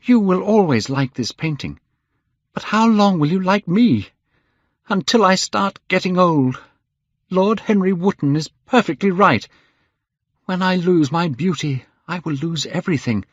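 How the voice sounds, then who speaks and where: A man's voice: fairly high pitch, expressive, a little slow, no echo, highs cut off, pitched above the performer's own voice. A man voicing a beautiful, petulant young man in a fervent, dramatic, aggrieved storybook manner. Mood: anguished, fervent.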